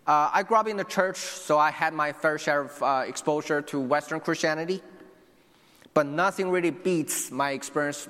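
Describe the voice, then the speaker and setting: A man's voice: a little high, fairly bright tone, long reverb tail. A young man from China, preaching a sermon earnestly and speaking directly to his congregation. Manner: earnest, with restarts and asides to the congregation.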